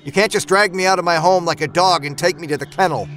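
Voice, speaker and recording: A man's voice: high-pitched, fast, fairly bright tone. A man voicing an upset, stubborn boy in a horror story, plaintive and insistent, over background music. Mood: angry. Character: upset, stubborn, uneasy boy taken from home. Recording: music bed behind voice.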